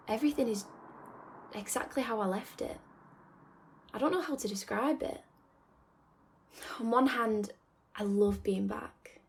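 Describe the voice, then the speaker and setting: Woman acting young woman, radio drama monologue, nostalgic, confiding, wistful, medium pitch, sighing pauses. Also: vocal fry, darkish tone, natural voice. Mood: conflicted, affectionate. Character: nostalgic young woman torn between home and independence.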